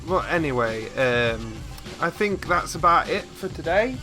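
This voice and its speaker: A man's voice: fairly high pitch, animated, unhurried, over music. A man hosting a reaction video, chatting casually to viewers in a rambling, easily amused way on a desktop microphone. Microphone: desktop USB microphone, slightly set back.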